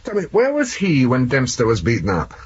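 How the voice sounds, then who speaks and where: An actor playing a blunt, sceptical senior English police officer with a husky voice in a 1970s radio drama. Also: male voice, mid-range voice, huge pitch swings, brisk. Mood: inquisitive, brisk. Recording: dull old radio recording.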